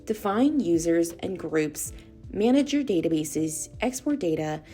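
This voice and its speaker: A woman reading a scripted corporate explainer in a measured, instructional way. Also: lowish voice, animated, warm tone, soft background music. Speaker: narrator of a corporate product video.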